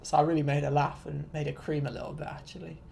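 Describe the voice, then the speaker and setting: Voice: mid-range voice, level tone, fast talker, creaky, warm tone. A vlogger in their twenties, telling stories in a casual, rambling, self-deprecating and sweary rant to viewers. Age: 20s.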